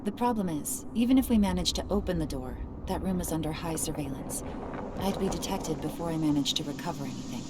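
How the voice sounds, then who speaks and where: An actress playing a composed, quietly confident female infiltrator in a dramatic video game. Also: sits low, fast talker, noisy background, lightly acted. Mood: composed, serious.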